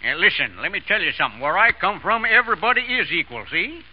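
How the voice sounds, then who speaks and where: An actor playing a hearty, folksy American Western husband in a radio comedy, informal and boisterous. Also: male voice, high-pitched, very animated, quick pace, breathy edge, full character voice. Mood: insistent, friendly. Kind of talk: 1930s-40s radio comedy acting. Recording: dull vintage radio broadcast.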